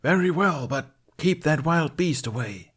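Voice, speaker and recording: A hostile knight issues stern challenges as a male narrator puts him on. The voice is gruff and stagey, lifted above a normal speaking pitch for the storybook play. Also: lively intonation, measured pace, fairly bright tone, heavily acted. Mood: menacing.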